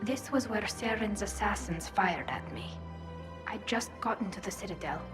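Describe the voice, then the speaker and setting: A woman's voice: mid-range voice, animated, normal speed, roomy sound, music in the background, close to an everyday voice. A woman voicing a resilient young alien in a video game, reflective and conversational. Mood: recalling, rueful.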